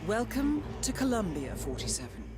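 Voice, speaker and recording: A woman playing a composed intelligence handler, giving a formal, measured, articulate mission briefing in a video game. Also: mid-range voice, big pitch swings, lightly acted. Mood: composed, ominous. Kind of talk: scripted mission briefing narration. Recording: music behind the voice.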